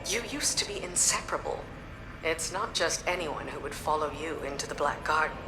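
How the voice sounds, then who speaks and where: A woman playing a frank, caring commander in a video game, talking in a direct, gently persuasive way. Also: deep voice, expressive, fairly quick, noisy background. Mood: frank, gentle. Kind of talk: dramatic game dialogue. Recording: roomy echo, music behind.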